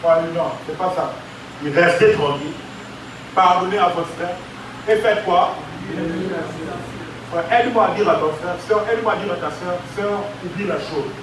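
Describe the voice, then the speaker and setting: A man's voice: sits high, background noise. A man preaching a sermon as pastor, calling for responses and stretching out key words. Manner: preaching with call-and-response, drawn-out emphasis. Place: large church hall. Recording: echoey church hall, mic at a distance.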